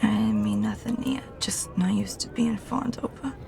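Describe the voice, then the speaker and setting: A woman's voice: mid-range voice, moderate pace, creaky, warm tone, whispered, soft background music. A woman playing a foul-mouthed, tough, injured fighter with a crude, sardonic, folksy manner in an audio drama. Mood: grouchy sarcasm.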